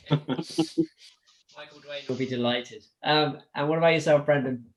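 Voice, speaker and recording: A man hosting a casual football chat, relaxed and chatty. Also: on the high side, slow, low-quality recording. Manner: relaxed, chatty, sighs and laughs. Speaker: podcast host.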